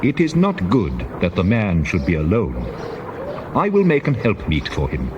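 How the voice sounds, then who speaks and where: A stern, commanding God, acted by a man in a scripture drama. The delivery is solemn and declamatory, swinging widely in pitch, over a faint bed of background noise. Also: wildly swooping pitch, normal speed, highs cut off.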